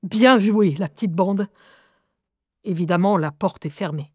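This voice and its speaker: A woman playing a lively young schoolgirl who narrates her life in a wry, confiding, expressive way in an audio drama. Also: mid-range voice, unhurried, breathy edge, dry recording, studio quality. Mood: confiding narration. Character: lively eleven-year-old schoolgirl recounting life with inner voices.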